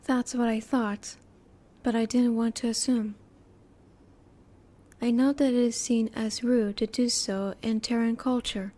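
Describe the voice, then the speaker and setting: A woman's voice: on the high side, fairly even intonation, unhurried, dark tone. A woman voicing a curious, polite alien in a roleplay audio drama, speaking formally and precisely with reserved emotion. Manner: formal, precise, stilted wording, politely inquisitive. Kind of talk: roleplay audio drama, one-sided dialogue to the listener.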